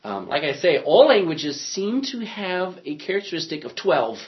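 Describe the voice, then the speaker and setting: A man lectures a Bible class, his voice sitting a little high and rising and falling constantly. He thinks aloud and throws in jokes as he goes. The classroom recording is lo-fi and muffled. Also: moderate pace. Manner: animated, joking, thinking aloud.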